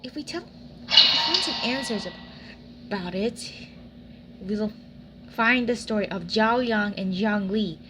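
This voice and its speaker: A woman giving casual, halting gameplay commentary, thinking aloud and repeating phrases as she plays. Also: medium pitch, very noisy. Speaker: gamer narrating a playthrough. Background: loud game music.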